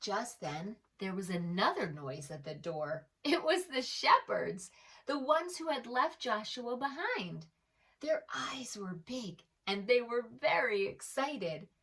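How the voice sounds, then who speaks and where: A woman storyteller reading aloud to preschoolers in an expressive way, pausing to address the young listener directly. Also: fairly high pitch, recorded from a distance. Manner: slow, animated, addresses the young listener with questions. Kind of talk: expressive children's read-aloud.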